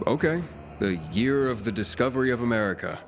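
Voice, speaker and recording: A man acting a level-headed, guarded wanderer hero in a video game cutscene, speaking calmly and drily. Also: medium pitch, a little fast, a crowd in the background, natural voice. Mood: dry, resigned. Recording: music behind the voice.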